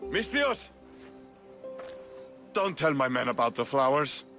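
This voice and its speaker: Casting: proud Spartan commander, game role, earnest, slow-paced with lively pitch swings. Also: male voice, soft background music, heavily acted. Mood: gruff. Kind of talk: video game voice acting.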